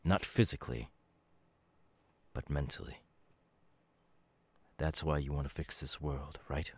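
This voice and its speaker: A man voicing a calm, knowing demon warrior with quiet menace, in a measured, halting, dramatic delivery for an ASMR audio roleplay. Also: very deep voice, breathy edge, heavily acted. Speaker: voice actor.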